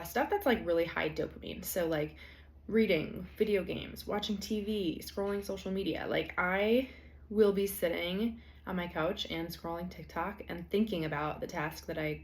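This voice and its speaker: A young woman hosting a solo podcast, slightly hoarse from allergies, talking in a casual, chatty way with frequent fillers. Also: fairly low pitch. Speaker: podcast host. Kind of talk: solo podcast talk on productivity.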